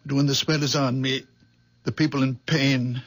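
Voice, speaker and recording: An actor voices a gentle, troubled very old man from a 1970s radio mystery, with healing hands. He talks in halting, stammering phrases full of apology. His voice is husky. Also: medium pitch, flat delivery, natural voice. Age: older. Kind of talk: 1970s radio drama acting.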